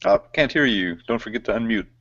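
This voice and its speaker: A man hosting a video chat, calling on people in a relaxed, gently directing way. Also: lowish voice, fast talker. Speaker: chat host. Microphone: video call. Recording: dull lo-fi call audio.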